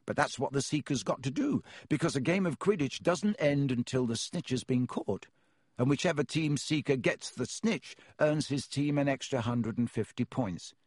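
A man doing a weary schoolboy, flat and patient, explaining rules, storybook figure. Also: medium pitch, moderate pace, breathy edge, no room sound, studio quality. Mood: resigned. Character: young schoolboy, tired and resigned. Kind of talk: audiobook character voice.

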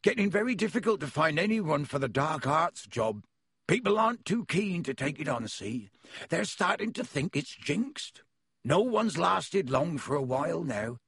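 A man voicing a big, gruff, kindly half-giant gamekeeper who growls in a rustic dialect. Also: fairly high pitch, very animated, moderate pace, a bit bright, no room sound, studio quality, voice pushed up, full character voice.

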